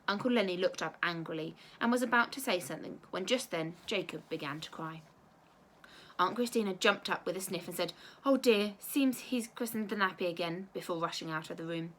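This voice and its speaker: A woman teacher reading a children's storybook aloud with expressive narration. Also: fairly low pitch, fairly quick, slight background noise, poor audio.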